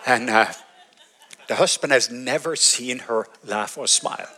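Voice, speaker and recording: Norwegian-accented pastor telling a story. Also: male voice, mid-range voice, sharp tone, slight background noise. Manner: storytelling, laughs often, halting restarts. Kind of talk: sermon.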